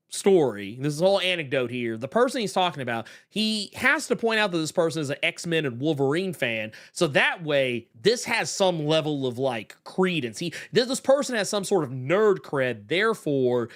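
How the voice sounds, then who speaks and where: A man hosting a podcast, talking casually and animatedly, swearing and breaking into quick asides. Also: on the high side, very animated, a bit bright. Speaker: podcast host. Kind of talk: casual chat reacting to a video.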